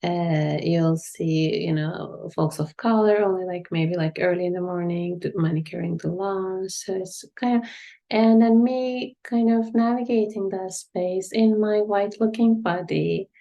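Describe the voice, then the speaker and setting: A woman's voice: fairly low pitch, steady tone, a little slow, vocal fry, dark tone. A middle-aged woman from Turkey with a Turkish accent, a guest author answering an academic question in a reflective way, with many fillers and hedges.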